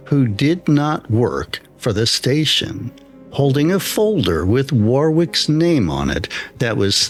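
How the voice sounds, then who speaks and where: A man narrating a scripted first-person horror story in a measured, deliberate, solemn manner. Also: mid-range voice. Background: background music.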